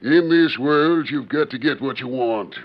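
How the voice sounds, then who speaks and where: An American actor playing a crafty, gruff middle-aged rancher father with a forceful folksy way of talking in a 1940s radio western. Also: medium pitch, measured pace, lightly acted. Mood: sly, goading. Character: gruff, crafty old rancher father testing his son. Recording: dull, band-limited 1940s radio recording.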